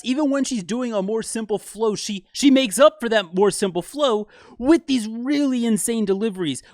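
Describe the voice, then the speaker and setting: A man breaking down music in an enthusiastic, explanatory way for a video, with fillers and quick asides. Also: sits high, dry recording, studio quality. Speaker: video commentator.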